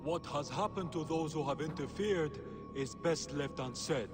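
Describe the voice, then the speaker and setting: A man's voice: fairly high pitch, moderate pace, over music, close to an everyday voice. A man playing a sly, haggling merchant in a video game, with a theatrical, teasing, wheedling delivery. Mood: sly bargaining. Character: sly, haggling merchant trading wares and secrets. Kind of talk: video game cutscene acting.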